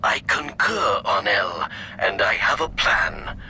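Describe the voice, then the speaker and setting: An actor playing a calm, dutiful alien military commander in a video game, speaking in a firm, measured, tactical manner. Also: male voice, lowish voice, a little hiss or hum, exaggerated voice. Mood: resolute. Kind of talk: video game dialogue acting.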